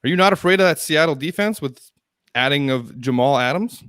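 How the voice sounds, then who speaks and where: A man's voice: on the high side, animated, a bit bright, clean tone, no room sound. Dry-sounding young man co-hosting. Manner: upbeat, brisk show-running, teasing. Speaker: co-host. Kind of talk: casual fantasy football chat.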